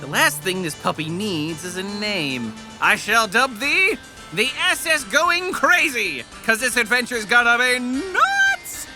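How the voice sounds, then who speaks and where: A voice actor playing a reckless, excitable boy leader in a cartoon parody, brash and theatrical. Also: medium pitch, slow, music in the background. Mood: brash, excited. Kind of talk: fan-dub parody cartoon acting.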